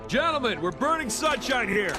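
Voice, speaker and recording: High-pitched, tough military hero. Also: male voice, big pitch swings, moderate pace. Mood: defiant, urgent. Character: tough, defiant human military sergeant. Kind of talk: video game cutscene acting. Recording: loud music over the voice.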